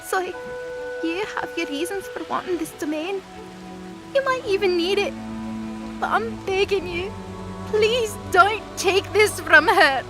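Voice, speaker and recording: Young goddess girl for fantasy audio drama, played by a woman: very high, earnest, pleading, music underneath. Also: unhurried, pauses often. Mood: tearful begging. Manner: stammering, desperate, emphatic pleading.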